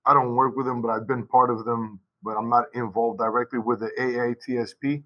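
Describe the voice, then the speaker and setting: Man, teacher, video call, casual panel talk, rambling, self-correcting, average pitch. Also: flat delivery, a little fast, lo-fi sound. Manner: rambling, with many restarts and asides. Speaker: high school Portuguese teacher. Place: school.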